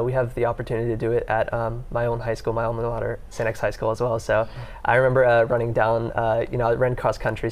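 A man's voice: medium pitch, a little mellow. A young man, a pre-med student, speaking casually in a TV studio interview on a lapel mic, with lots of fillers. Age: young adult. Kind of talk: live TV interview. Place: TV news studio.

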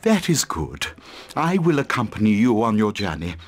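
Courteous elf envoy, lively intonation. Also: male voice, fairly low pitch, moderate pace. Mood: foreboding. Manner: formal, portentous. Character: courteous, solemn male elf envoy of the forest. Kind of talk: video game voice acting. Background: music.

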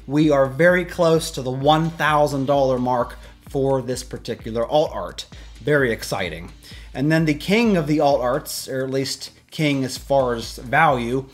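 Male host, 30s, mid-pitched voice, upbeat and informative rundown delivery for an online video. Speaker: YouTube host. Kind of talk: scripted-style auction price rundown to camera. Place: small indoor room with shelves of collectibles.

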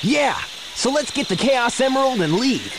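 Cocky, animated young hedgehog hero. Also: male voice, extremely high pitch, normal speed, background noise. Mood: startled. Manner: breezy, slangy. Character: cocky young male blue hedgehog hero, laid-back and brash. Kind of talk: video game cutscene acting.

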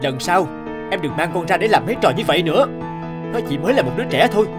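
A man's voice: sits high, lively intonation, fast talker, music in the background. A man playing a philandering husband and father in a cartoon drama. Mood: stern. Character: philandering husband and father, adult, cold to wife.

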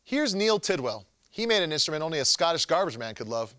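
A man's voice: on the high side, very animated, fast, noisy background. An American man in his thirties hosting and narrating a scripted science show in a jokey, theatrical way. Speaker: host.

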